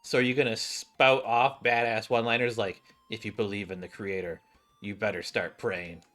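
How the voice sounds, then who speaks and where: A man's voice: lowish voice, fairly even intonation, normal speed, fairly bright tone. A man hosting a gaming video, commenting casually and reading dialogue aloud. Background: faint game music.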